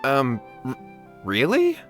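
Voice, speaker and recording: A man's voice: medium pitch, huge pitch swings, takes it slowly, fairly bright tone, heavily acted. A man playing an earnest, anxious young petitioner in a video game, speaking in a deferential, pleading manner. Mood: flustered, deferential. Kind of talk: scripted video game character acting. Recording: music playing behind the voice.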